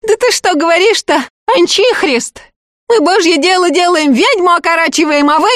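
A woman's voice: very high-pitched, moderate pace, fairly bright tone, no room sound, clean studio sound, voice pushed up. A tearful wailing peasant woman. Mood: tearful indignant. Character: tearful disheveled peasant woman Marya, zealous.

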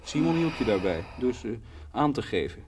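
A man's voice: medium pitch, very animated, normal speed, a little mellow, breathy edge. An actor playing a teasing, coaxing man on holiday, playful and wry, in a Dutch radio play. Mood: breezy. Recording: distant, slightly hissy old radio recording.

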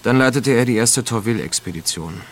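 An actor playing a world-weary, sardonic male expedition veteran in a radio drama, speaking and narrating in a dry, deliberate manner. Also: mid-range voice, noisy background, natural voice. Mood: reflective. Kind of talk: radio drama dialogue and first-person noir narration.

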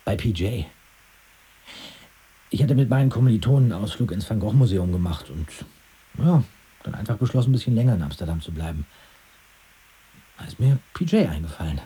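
An actor playing an enthusiastic, persuasive young student with a casual, slangy way of talking in a radio drama. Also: male voice, lowish voice, expressive, brisk, pauses often, warm tone, slightly rough. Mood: confiding. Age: young adult. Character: enthusiastic scheming young student friend turned would-be manager. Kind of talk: naturalistic German radio drama dialogue.

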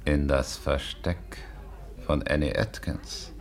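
An actor playing a cocky, pushy small-time gangster in a radio play, gruff and bossy. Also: male voice, a bass voice, steady tone, slow pace, exaggerated voice. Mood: bossy, insistent. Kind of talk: 1950s German radio play acting.

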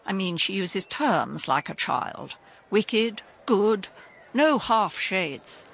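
A woman's voice: medium pitch, sing-song, slow, gravelly, fairly bright tone, exaggerated voice. A woman playing a genteel, guarded elderly country lady in a radio drama, speaking with emphatic propriety. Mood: guarded, upset. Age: older.

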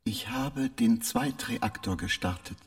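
Slow, creaky spaceship computer mind. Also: male voice, on the low side, wildly swooping pitch, exaggerated voice. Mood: calm, evasive. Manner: slow, measured, halting delivery with odd emphasis. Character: male artificial intelligence of a spaceship, polite, halting, evasive. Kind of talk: radio drama acting.

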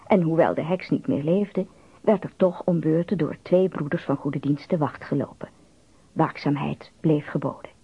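Husky, creaky-voiced male storyteller. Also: fairly high pitch, moderate pace, no room sound. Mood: hushed, intimate. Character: storyteller of a folk-tale adventure. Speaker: narrator. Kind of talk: scripted radio drama narration. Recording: dull lo-fi radio recording.

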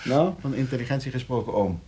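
An actor playing a playful, scheming young nephew with a teasing, animated manner in a radio drama. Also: male voice, medium pitch, fast talker, slightly rough.

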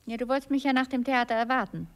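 An actress playing a seductive, capricious stage star in a radio play, coquettish, teasing and imperious, with a Viennese accent. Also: female voice, fairly high pitch, fast talker, close to an everyday voice. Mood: capricious, indignant. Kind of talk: intimate radio play acting.

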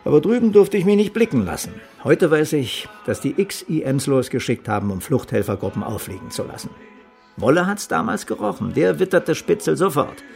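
Radio drama: blunt, forgetful middle-aged man, wry and swinging in pitch, gravelly edge. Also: sing-song, brisk, a little mellow, faint music behind. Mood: bitter, sardonic. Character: gruff, sardonic, forgetful former escape helper. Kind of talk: naturalistic radio play acting.

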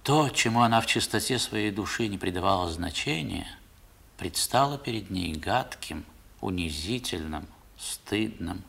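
A low-pitched man recalls his boyhood as narrator of a 1960s radio play. His delivery is measured and literary. The tone stays reflective. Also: expressive, gravelly, pushed and bright, slightly rough, heavily acted.

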